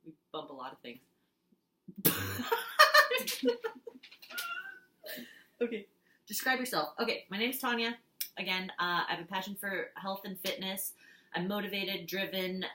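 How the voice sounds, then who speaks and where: Giggly woman with a bright voice. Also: mid-range voice, very animated, a little slow, long pauses, reverberant, slight background noise. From Switzerland. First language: Swiss German. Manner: giggly, jokey, fills gaps with "um". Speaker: contestant. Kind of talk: casual audition tape. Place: small apartment at home.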